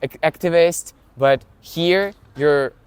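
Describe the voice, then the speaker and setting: A man's voice: fairly high pitch, takes it slowly, smooth, no room sound. A young man interviewing fellow students in a casual, chatty way, laughing along and speaking English as a second language. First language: Ukrainian. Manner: chatty, laughs along, non-native phrasing.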